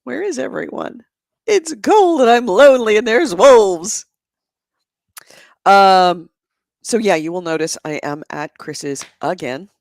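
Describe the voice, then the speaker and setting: Hoarse, gravelly-voiced woman podcaster. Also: medium pitch, slow, dry recording, studio quality. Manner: chatty, laughs often, animated storytelling. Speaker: podcast host. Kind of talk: casual chat. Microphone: adjustable microphone close to the mouth.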